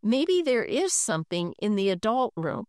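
A woman's voice: mid-range voice, sing-song, moderate pace, a little mellow, no echo, studio quality, lightly acted. A woman reading aloud and voicing a kindly, teasing librarian in a storybook.

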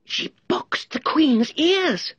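Nervous little rabbit fella, high wobbly pitch, hushed and fretful, storybook. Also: male voice, animated, dry recording, lo-fi sound, full character voice. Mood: frightened. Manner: hushed, hurried, fearful.

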